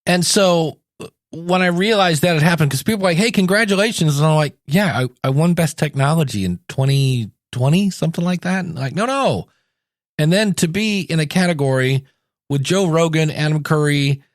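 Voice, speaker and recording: A man's voice: a little high, no echo, clean studio sound. A man in his fifties hosting a podcast, talking casually and candidly in an anecdotal monologue. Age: 50s. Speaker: podcast host.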